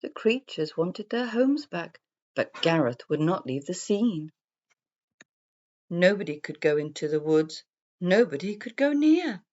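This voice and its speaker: A woman's voice: lowish voice, big pitch swings, measured pace, a little mellow, lo-fi sound. A woman storyteller reading a rhyming children's story aloud as scripted narration.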